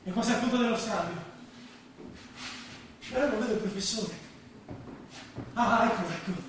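An actor playing a proud, desperate refugee besieged by police, declaiming in a stage drama. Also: male voice, high voice, moderate pace, a bit bright. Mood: anxious watching. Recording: echoey theatre stage, distant, noisy.

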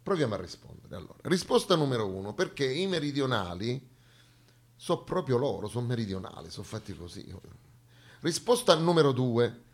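A man's voice: medium pitch, very animated, brisk. A man performing a satirical, colloquial theatre monologue on stage, ironic and full of rhetorical questions.

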